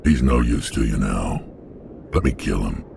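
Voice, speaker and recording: An actor voicing a hulking alien mercenary warrior in a video game, blunt and threatening, with a deep gravelly growl. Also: male voice, animated, unhurried, a little hiss or hum, heavily acted. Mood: gruff. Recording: game audio with loud score behind.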